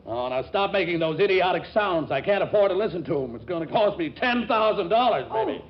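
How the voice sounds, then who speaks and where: A man's voice: high voice, animated, fairly quick. An actor playing a blustery, exasperated older father, sputtering with indignation in 1940s radio comedy style. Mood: smug, irritated. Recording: dull, faintly noisy 1940s radio broadcast.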